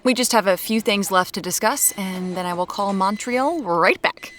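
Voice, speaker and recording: An actress playing a brisk, evasive young woman amateur detective in an audio drama, dry and matter-of-fact. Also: medium pitch, fairly bright tone, a little hiss or hum, natural voice. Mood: evasive, hurried.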